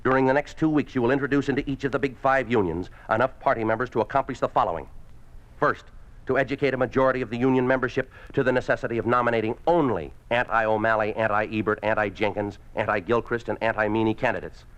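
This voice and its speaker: An actor playing a ruthless, domineering communist party boss in a 1950s radio drama, speaking in a curt, commanding way. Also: male voice, medium pitch, fairly even intonation, fast talker, a bit bright, close to an everyday voice. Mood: harsh, commanding. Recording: muffled old 1950s radio recording.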